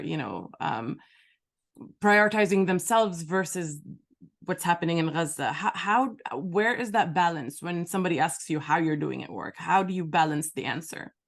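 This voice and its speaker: A middle-aged woman from Palestine hosting an interview, asking searching, halting questions. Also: on the low side. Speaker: interview host. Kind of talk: conversational interview.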